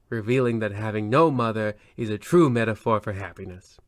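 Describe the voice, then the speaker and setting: Pompous, gloomy radio horror host acted by a man, deadpan, close dry studio sound. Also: mid-range voice, no room sound, lightly acted. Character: pompous, melancholy mother-haunted radio horror show host. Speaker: host narrator. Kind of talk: old-time radio horror host parody.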